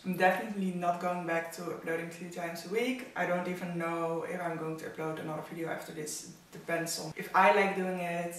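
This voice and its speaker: A woman's voice: lowish voice, steady tone, brisk, hardly any pauses. A young woman with a Dutch accent, a native Dutch speaker, chatting casually to camera as a fashion vlogger in her living room. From Netherlands. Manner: chatty, opinionated about outfits. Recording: echoey room, mic at a distance.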